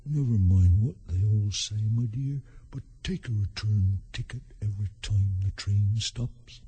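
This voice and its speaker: A man playing a fussy, condescending gentleman in a storybook, with drawn-out speech. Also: fairly low pitch, husky, soft background music. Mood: confiding.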